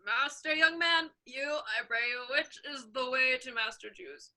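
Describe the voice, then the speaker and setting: Old befuddled father, female performer: humble, imploring, high and piercingly bright, stage comedy delivery. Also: unhurried, heavily acted, poor audio. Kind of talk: theatrical Shakespeare reading.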